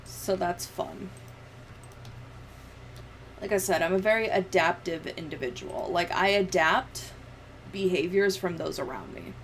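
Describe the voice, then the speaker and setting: A woman's voice: on the low side, unhurried, long pauses, slight background noise. A woman livestreamer chatting and telling stories in a casual, sweary, giggly way. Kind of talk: casual livestream chat, storytelling.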